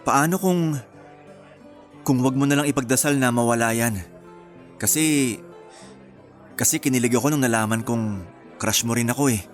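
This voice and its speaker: A man's voice: mid-range voice, normal speed, long pauses, close to an everyday voice. An actor playing a teasing, smitten man in his thirties in a Filipino radio romance drama. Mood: flirtatious. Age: 30s. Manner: playful, flirtatious teasing. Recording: music bed under the dialogue.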